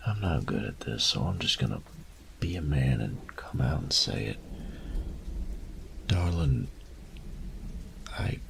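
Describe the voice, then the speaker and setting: Man acting as a tender young male roommate, romantic audio roleplay, heavy vocal fry, lively intonation, breathy murmur, faint rain and thunder behind. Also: extremely low pitch, takes it slowly, long pauses, noisy background, full character voice. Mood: nervous, earnest. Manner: soft breathy murmurs, teasing pet names.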